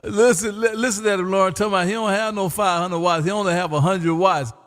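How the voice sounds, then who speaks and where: A man's voice: high voice, steady tone, quick pace, a bit bright, big-room sound. A jovial, teasing man hosting a live amateur radio stream in casual chat, repeating himself and peppering his talk with "man". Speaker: amateur radio operator and livestream host. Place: home radio room.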